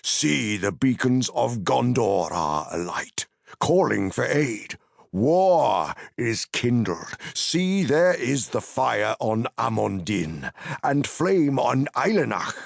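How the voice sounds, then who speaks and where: An ancient wizard for a fantasy audiobook, grave and commanding. The voice creaks and swings widely in pitch, with solemn, archaic phrasing that is slow and weighty. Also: male voice, big pitch swings, no echo, studio quality, heavily acted. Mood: urgent. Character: ancient wise wizard, grave, urgent, commanding yet reassuring.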